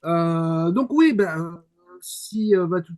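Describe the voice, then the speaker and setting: A man's voice: sits high, lively intonation, a little mellow. A middle-aged man chatting casually as a panelist, hesitant and often restarting. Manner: hesitant, full of drawn-out pauses and restarts. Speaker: show panelist. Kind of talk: casual live show chat.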